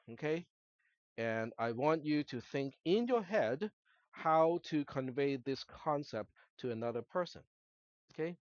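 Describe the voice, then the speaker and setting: Higher-voiced male lecturer, native Cantonese speaker, muffled. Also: a little mellow, dry recording. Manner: checks understanding often with short tag questions. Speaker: college instructor. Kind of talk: explanatory classroom lecture to students. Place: classroom, screen-recorded lecture.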